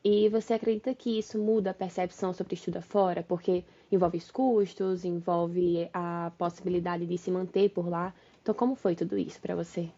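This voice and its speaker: Upbeat Brazilian woman hosting, mellow lo-fi. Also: on the low side, level tone, fairly quick, hardly any pauses. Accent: Brazilian Portuguese. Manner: friendly, upbeat questioning with fillers. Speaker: podcast host, marketing analyst. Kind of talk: casual interview chat. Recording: dull lo-fi sound.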